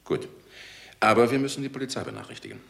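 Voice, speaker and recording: An actor playing a worried, sober hospital doctor in a radio crime drama, speaking gravely. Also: male voice, medium pitch, wildly swooping pitch, quick pace, a bit bright, exaggerated voice. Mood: concerned.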